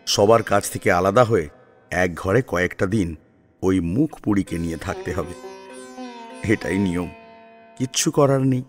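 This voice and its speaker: A man narrating a scripted story in an expressive, dramatic storytelling manner. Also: sits low. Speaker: narrator and co-presenter.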